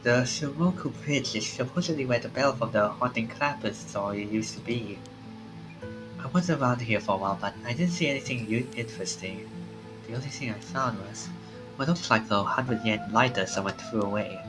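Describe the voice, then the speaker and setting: A man's voice: mid-range voice, normal speed, rough recording. A man reading visual novel dialogue aloud in a theatrical way as he streams a game. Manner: acts out the lines theatrically. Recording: game music behind the voice. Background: video game music.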